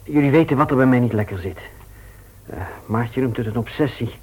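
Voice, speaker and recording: A male radio play actor playing a nervous, earnest bachelor, speaking in a halting but insistent way. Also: mid-range voice, darkish tone, slightly rough, close to an everyday voice, rough recording. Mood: nervous, confessional. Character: nervous, hurried bachelor friend, guilt-ridden and earnest. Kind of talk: naturalistic Dutch radio drama acting.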